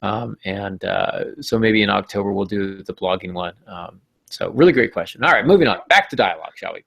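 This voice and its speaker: A man's voice: fairly low pitch, fairly quick, vocal fry, a bit bright, husky, dry recording. A man hosting a podcast, talking informally and jokily as he gives writing advice. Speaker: podcast host.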